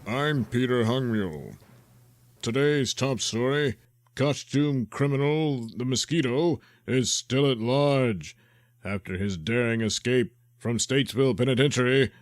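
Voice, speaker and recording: A man's voice: mid-range voice, dry recording, studio quality, natural voice. A man playing an earnest, formal local news anchor and reporter in a parody newscast.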